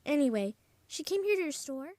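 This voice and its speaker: A woman's voice: high voice, big pitch swings, fairly quick, a little mellow, no echo, clean studio sound. A woman playing an earnest, hesitant seven-year-old boy in an old-time radio drama. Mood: earnest, upset. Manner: childlike, plain-spoken, hesitant.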